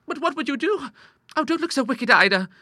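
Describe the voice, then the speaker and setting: Guy putting on a really high worried young lady voice, pleading and gentle, audiobook style, very up and down. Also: expressive, fairly quick, no echo, clean studio sound, heavily acted. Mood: anxious. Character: gentle, anxious young lady, reluctant soft-hearted daughter. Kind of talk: audiobook character acting.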